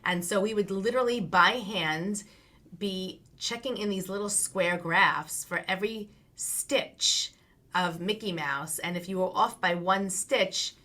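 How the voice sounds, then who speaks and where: A woman's voice: medium pitch, unhurried, pushed and bright. A middle-aged woman from Long Island with a New York accent, chatting as a guest and telling her career story with laughs. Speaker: guest, business coach. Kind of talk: casual interview chat.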